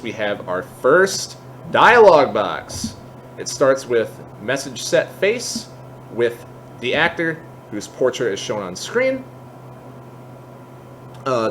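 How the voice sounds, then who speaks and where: A man hosting an informal software tutorial, explaining step by step and thinking aloud as he goes. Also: medium pitch, slight background noise. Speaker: tutorial host. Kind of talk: casual screen-share software tutorial. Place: indoors at a computer, voice-over for screen capture.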